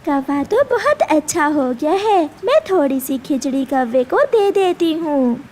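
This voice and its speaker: A woman's voice: high-pitched, big pitch swings, unhurried, darkish tone. A woman voicing a caring, protective mother sparrow in a cartoon with a motherly manner. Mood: pleased. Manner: affectionate, motherly.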